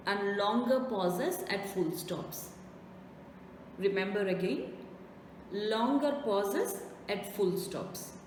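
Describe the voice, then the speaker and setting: A woman's voice: on the high side, darkish tone. Woman trainer in a very echoey room. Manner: didactic, emphatic repetition with rhetorical questions. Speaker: test-preparation trainer. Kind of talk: instructional lesson to learners. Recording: very echoey reverberant room, faint noise.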